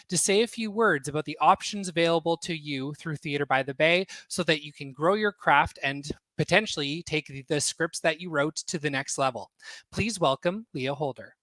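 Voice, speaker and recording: A man speaks as an event host in a fairly high, very bright voice. He introduces and interviews guests in a formal presenting style, recorded dry and close. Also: no echo, low-quality recording. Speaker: host of an online theatre event.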